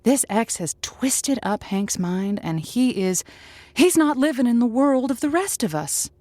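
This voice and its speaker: Comic call-in host: woman, slightly high register, conversational, emphatic, folksy, very dry sound. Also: very animated, a little slow, darkish tone, no room sound. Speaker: advice show host.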